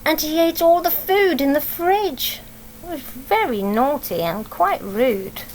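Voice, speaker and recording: High-voiced English woman reading a storybook. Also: takes it slowly, slight background noise, rough recording. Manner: expressive, explaining, asks tag questions. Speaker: teacher reading to children. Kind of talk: storybook read-aloud with asides to young children.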